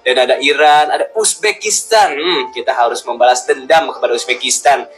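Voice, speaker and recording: A man's voice: medium pitch, moderate pace, fairly bright tone. A man, hosting casual, slangy, exclamatory football fan commentary.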